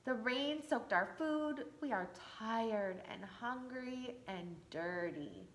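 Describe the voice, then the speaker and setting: A woman's voice: fairly high pitch, lively intonation, slow, roomy sound. A woman voicing a tired, downcast wooden father of a stranded family in a storybook. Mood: weary. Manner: tired, weary.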